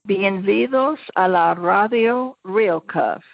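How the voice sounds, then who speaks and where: Slow, gravelly woman introducing a radio show. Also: medium pitch, dull audio, soft background music. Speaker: radio host.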